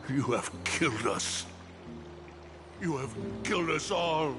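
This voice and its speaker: An actor playing a stern old warrior mentor devoted to his kingdom's pride, with a gravelly voice and a grave, formal manner, in a dramatic video game style. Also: fairly high pitch, expressive, slow pace. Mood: anguished. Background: background music.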